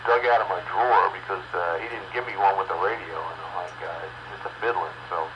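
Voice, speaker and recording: A man's voice: sits low, steady tone, fast, noisy background. A man chatting casually over two-way radio on a cheap stock microphone, grumbling and hesitant in manner. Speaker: amateur radio operator. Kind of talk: casual on-air radio chat.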